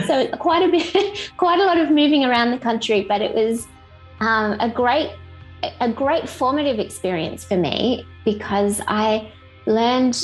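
A woman's voice: medium pitch, low-quality recording. Upbeat Australian woman, chatty interview guest. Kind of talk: casual interview chat.

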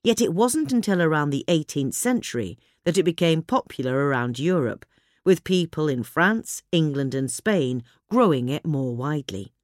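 A woman reading a gardening magazine article aloud in a measured, clear way. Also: low voice, lively intonation, a little mellow, dry recording, studio quality. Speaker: reader. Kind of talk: scripted narration of a gardening article.

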